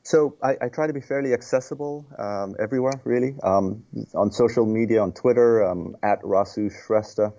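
A man from Nepal, a healthcare executive, answering podcast questions earnestly, often repeating words and pausing with fillers. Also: fairly low pitch, mellow, highs cut off.

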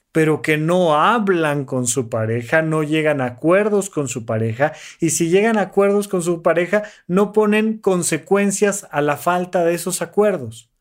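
Earnest Mexican coaching man. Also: fairly high pitch. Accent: Mexican Spanish. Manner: earnest, emphatic, mimics the people he critiques. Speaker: life coach. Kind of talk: motivational talk.

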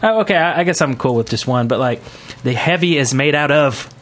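A man's voice: mid-range voice, animated, a little fast, fairly bright tone. Casual man hosting a podcast. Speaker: podcast host. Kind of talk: casual gaming podcast chat.

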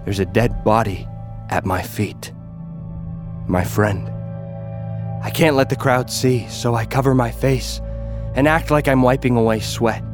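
A man's voice: medium pitch, measured pace, pauses often, close to an everyday voice. An actor playing a battered young gladiator influencer, narrating his own story in a terse, dramatic, grimly intense way. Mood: anguished. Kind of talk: first-person audio fiction narration. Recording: prominent music under the voice.

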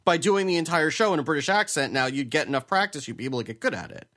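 A man's voice: a little high, expressive, rapid-fire, sharp tone. A man co-hosting a casual podcast, teasing dryly and hesitating with fillers. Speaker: podcast co-host. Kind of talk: casual chat.

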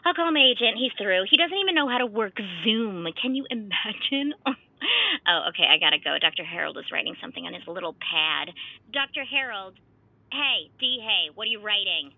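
A woman's voice: medium pitch, lively intonation, a little fast, no room sound, phone-quality sound. A woman playing a spoiled, self-absorbed theater diva, haughty and dramatic, in an audio comedy.

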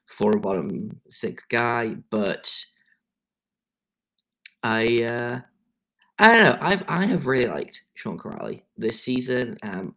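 A man's voice: mid-range voice, a bit bright. An English man hosting a sports podcast, talking casually with many fillers and restarts. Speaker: podcast host.

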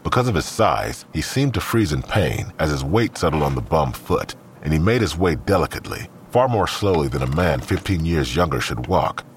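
A man's voice: very deep voice, big pitch swings, normal speed, hardly any pauses, slight background noise. A man narrating a scripted audio drama. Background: music.

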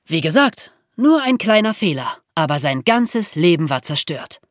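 Podcast history presenter, mid-pitched voice, lively intonation, dramatic storytelling emphasis, dry studio sound. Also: normal speed, no echo.

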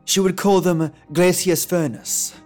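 A man's voice: sits high, fairly even intonation, unhurried, a little mellow. A man hosting a podcast, delivering scripted narration and commentary like a theatrical storyteller. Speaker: podcast host. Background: background music with guitar.

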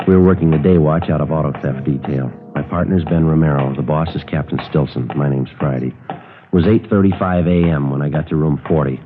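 An actor with an American accent playing a terse, matter-of-fact police detective in a 1950s radio drama, deadpan and businesslike, on a muffled old recording. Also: male voice, a bass voice, fairly quick, over music. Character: terse, matter-of-fact male police detective sergeant narrating his case.

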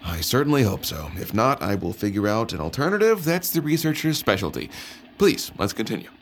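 Low-voiced, courteous researcher. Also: male voice, sing-song, a little fast. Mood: earnest, polite. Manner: formal, courteous. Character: polite, earnest male researcher interviewing an elder. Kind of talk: audio drama interview. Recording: clear background noise and ambience.

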